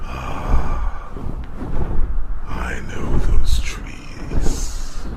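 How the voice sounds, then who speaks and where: A man voicing a huge, proud, protective dragon with a growling, breathy voice, telling his tale solemnly with dramatic pauses in an ASMR fantasy roleplay. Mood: reassuring. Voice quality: very deep, gravelly, breathy growl. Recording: loud rushing ambient noise over voice.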